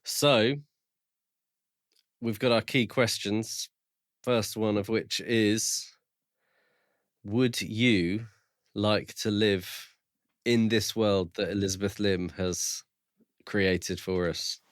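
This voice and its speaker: Low-voiced, measured man on a podcast. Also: fairly even intonation, no echo, clean studio sound. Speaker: book podcast co-host. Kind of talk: casual book review chat.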